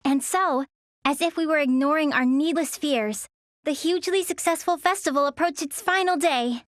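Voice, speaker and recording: Anime game heroine, actress playing young: high-pitched, gentle, earnest teen girl, dry studio sound. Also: moderate pace, no room sound, close to an everyday voice. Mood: gentle narrating. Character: sweet, earnest, polite teenage girl goddess candidate. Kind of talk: anime game acting and story narration.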